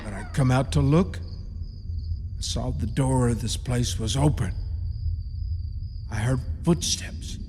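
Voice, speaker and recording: An actor playing an eerie rural caretaker of a haunted tomb in a radio play, telling it in a halting, ominous, folksy way. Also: male voice, medium pitch, a little mellow, natural voice. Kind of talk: radio play horror storytelling. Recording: reverberant radio drama, audible background noise.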